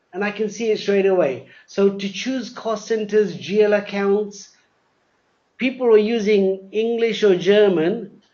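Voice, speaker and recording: A man presenting a live software demo, explaining step by step, often repeating words and spelling things out. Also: sits high. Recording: dull, lo-fi webinar audio.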